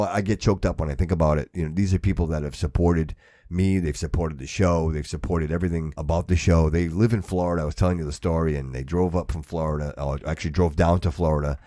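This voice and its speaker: A man's voice: a bass voice, dark tone, no room sound, clean studio sound. A man hosting a podcast in casual, emphatic, slangy chat, often repeating himself for stress. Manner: slangy, repetitive, addressing listener as bro and dude. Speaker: podcast host.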